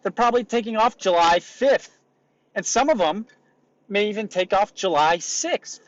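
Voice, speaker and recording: A man hosting a solo motivational podcast, raspy today, talking in an emphatic, repetitive coaching way. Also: sits high, animated, moderate pace, no room sound, muffled recording. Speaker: podcast host.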